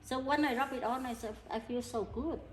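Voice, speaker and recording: A middle-aged woman from Vietnam with a Vietnamese accent, a healer talking earnestly in halting, broken English. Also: on the high side. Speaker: energy healer. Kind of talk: casual interview talk. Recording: echoey room with background noise.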